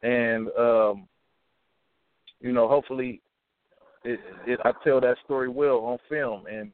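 A man's voice: mid-range voice, no echo. Young guy from milwaukee, chill, stumbles and restarts a lot, sounds like a phone call, dead dry. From Milwaukee, USA. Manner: relaxed, halting with many restarts and "you know". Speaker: rapper and actor guest. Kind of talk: casual interview talk.

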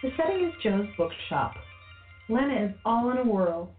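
A woman's voice: a little high, big pitch swings, normal speed. A woman reading scripted narration for a radio play. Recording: phone-like audio with faint music.

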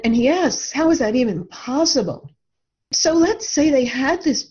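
A woman with a mid-pitched voice talks reflectively, as a guest explaining her own ideas. Her delivery is earnest and deliberate, leaning on repeated words for emphasis. The audio is a little dull and lo-fi. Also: a little mellow. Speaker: author and podcast guest.

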